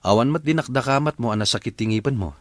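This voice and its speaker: A man's voice: mid-range voice, quick pace, dry recording, lightly acted. A man playing a troubled, patient husband and young father in a Filipino radio drama, with an earnest, mild manner. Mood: worried. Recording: loud music bed behind the voice. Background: background music.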